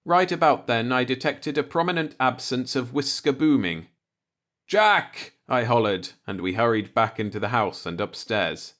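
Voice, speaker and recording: A man's voice: mid-range voice, normal speed, hardly any pauses, fairly bright tone. A man reading a light-hearted short story aloud as an audiobook narrator, in a scripted storytelling style.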